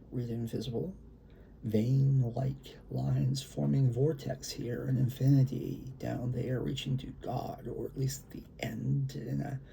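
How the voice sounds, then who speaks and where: A man performs spoken-word poetry in a very gravelly voice with a dark, mellow tone. He takes his time over the phrases and leans on key words for dramatic weight. There is slight noise behind him on the recording. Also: medium pitch. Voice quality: gravelly, creaky. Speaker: poet reading own work. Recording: faint background room noise.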